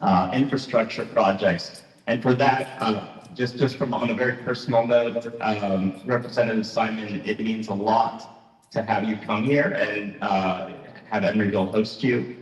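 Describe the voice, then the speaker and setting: A man serving as mayor, welcoming a town meeting in a halting way, with many fillers and restarts. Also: fairly low pitch, steady tone. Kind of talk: public welcome speech. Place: large hall at a town meeting. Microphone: microphone that fails partway. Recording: echoey hall, voice from far off.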